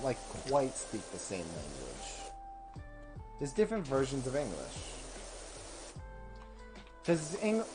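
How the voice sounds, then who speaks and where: A young man casually commenting while playing a video game on a live stream, rambling, swearing and thinking out loud. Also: mid-range voice, low-quality recording.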